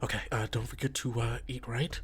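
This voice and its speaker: A man talks in an informal, halting way, like a game master running a podcast. His voice sits at a middle pitch and he often pauses to find his next phrase. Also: flat delivery, slightly rough. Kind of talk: casual announcements and tabletop game narration.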